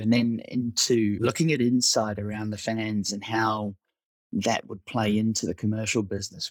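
Husky, even-toned New Zealand man. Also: fairly low pitch, no echo. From Auckland, New Zealand. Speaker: startup COO, interview guest. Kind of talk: conversational account of own career.

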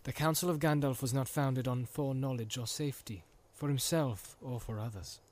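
A man reading an audiobook, voicing a grave, steady male ranger leader who speaks in a measured, solemn way. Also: medium pitch, natural voice.

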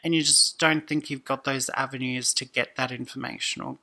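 A woman, a podcast guest talking casually and thoughtfully, often pausing and restarting. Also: extremely low pitch, steady tone, bright, forceful tone. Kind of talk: casual conversation.

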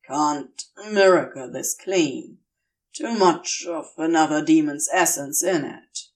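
A woman's voice: sits low, slow pace, a little mellow, far from the mic, voice pushed down. A woman voicing a protective male demon with a dry, clipped but reassuring manner. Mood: tense, clipped.

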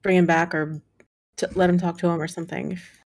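A woman at a gaming table quietly speaks for her character. Her voice is flat and narrow in pitch, with a gravelly, husky edge. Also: brisk. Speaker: player. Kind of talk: casual table talk.

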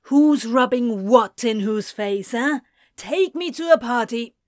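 A woman voicing a volatile young man who taunts and shouts. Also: on the high side, expressive, slow pace, no room sound, studio quality. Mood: taunting. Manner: taunting and loud.

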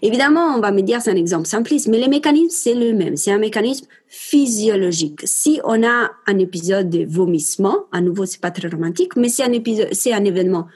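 A woman's voice: mid-range voice, expressive, a little fast, hardly any pauses, a little mellow, husky. A woman in her twenties with an Italian accent, passionately and emphatically telling listeners about giving birth and speaking to them directly. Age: 20s. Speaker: mother sharing her home birth story. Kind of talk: casual spoken monologue.

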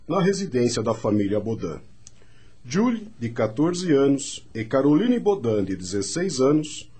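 Mellow, husky male radio drama narrator. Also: mid-range voice.

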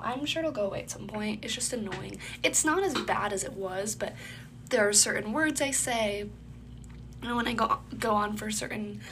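A young woman hosts her podcast in loose, rambling chat, her voice stuffy and congested with a phlegmy catch in the throat. She sits at a medium pitch. Steady noise hisses under the home recording. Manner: rambling, filler-heavy, chatty. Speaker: podcast host. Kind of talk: casual solo podcast chat.

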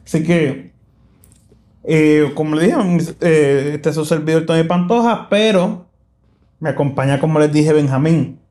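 A man's voice: on the high side, unhurried, darkish tone. Chatty man hosting a Spanish-language podcast. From South Texas. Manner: chatty, rambling with many fillers and restarts, laughs easily. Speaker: podcast host. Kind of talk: casual podcast chat. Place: church live room.

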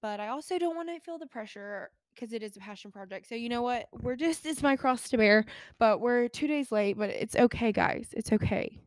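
A woman's voice: on the high side, lively intonation, moderate pace, dry recording, studio quality. A young adult woman with an American accent, a podcast host talking casually in an informal, rambling stream of consciousness peppered with "like". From United States. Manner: chatty, informal, rambling with frequent "like".

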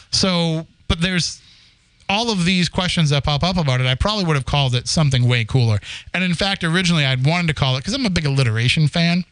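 A man in his forties, from the Boston area, chats about his show as a host. He tells it as a rambling story with plenty of restarts. His voice is smooth and clear, a touch high for a man, in a dry, close recording. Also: quick pace, a bit bright, no echo, rough recording. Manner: storytelling, chatty with frequent restarts and laughs. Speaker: podcast host. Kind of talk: casual chat.

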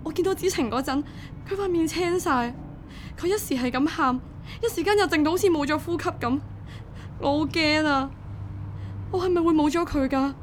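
High-voiced young mother acted by a woman, Hong Kong Cantonese. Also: lively intonation, normal speed, slight background noise, natural voice. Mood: distraught. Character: young single mother, 21, burdened, anxious, devoted. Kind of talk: Cantonese radio drama acting, narration and dialogue.

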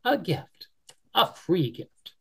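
A man voicing a small, lonely young bunny who pleads and sniffles in a high voice. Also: very animated, slow pace, vocal fry, fairly bright tone, breathy edge, exaggerated voice. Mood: hopeful.

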